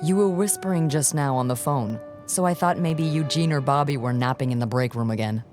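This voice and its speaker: A woman's voice: very deep voice, brisk, music in the background. A woman playing a teasing, sardonic young hotel receptionist in a video game cutscene. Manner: playful sarcasm.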